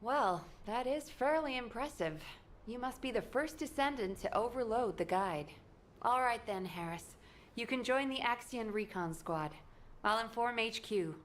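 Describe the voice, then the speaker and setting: An actress playing a composed female squad leader who gives firm, measured commands. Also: a little high, animated, a little hiss or hum. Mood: commanding.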